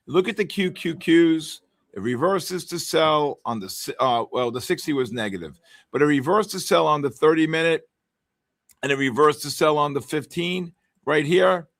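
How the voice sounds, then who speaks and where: Loud wisecracking Bronx man. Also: on the high side, vocal fry. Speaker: trading show host. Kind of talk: excited live market commentary.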